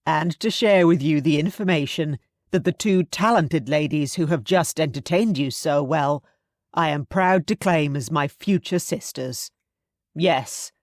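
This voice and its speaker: A woman voicing a jovial young gentleman addressing his guests with proud cheer. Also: low-pitched, unhurried, no room sound, studio quality.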